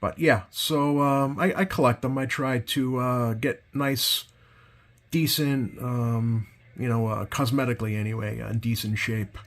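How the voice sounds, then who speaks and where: A man's voice: mid-range voice, steady tone, unhurried. A man giving a casual, hands-on product demonstration as a host, hesitant and rambling, often correcting himself. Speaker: host, CB radio collector. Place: indoors at a workbench.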